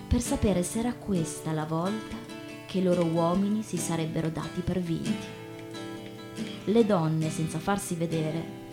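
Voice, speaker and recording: Expressive woman reading over loud guitar. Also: on the low side. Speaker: stage performer reading stories. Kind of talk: dramatic literary reading and theatrical monologue. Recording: loud plucked acoustic guitar behind the voice. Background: guitar music.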